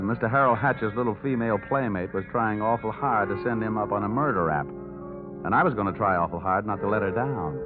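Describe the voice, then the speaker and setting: A man's voice: fairly low pitch, lightly acted. An actor playing a wry, wisecracking insurance investigator who narrates his case in vintage radio noir style. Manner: sardonic, wisecracking. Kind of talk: 1940s-50s radio noir narration and acting. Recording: tinny vintage radio recording, music behind.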